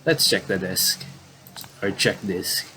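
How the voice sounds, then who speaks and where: Slow-paced man with very lively pitch. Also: a little hiss or hum, low-quality recording. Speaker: gamer reading a visual novel aloud with commentary. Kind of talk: casual let's play commentary mixed with reading game text aloud.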